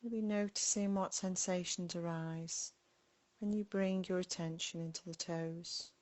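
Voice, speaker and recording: Slow, even-toned woman guiding meditation. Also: on the low side. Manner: gentle, unhurried, with long pauses between short phrases. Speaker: meditation guide. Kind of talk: guided body scan meditation.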